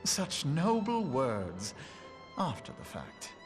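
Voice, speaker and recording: A man's voice: mid-range voice, huge pitch swings, slow pace. An actor playing a jovial, grandiloquent statesman in a fantasy video game, with a florid, oratorical delivery. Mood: sardonic. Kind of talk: theatrical fantasy game voice acting. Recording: loud music behind the voice.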